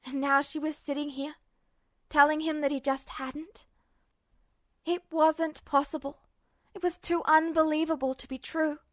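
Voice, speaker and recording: A woman narrating fan fiction as an audiobook reader, in a soft, even storytelling way. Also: sits high, unhurried, pauses often, no echo. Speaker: podfic reader.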